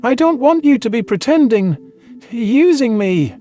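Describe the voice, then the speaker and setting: A man's voice: very high voice, very animated, warm tone, soft background music, heavily acted. A man voicing a frightened, distrustful male alien, a former exterminator, who stammers and stumbles over his words. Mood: pleading. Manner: stammering, halting. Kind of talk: audiobook character voice.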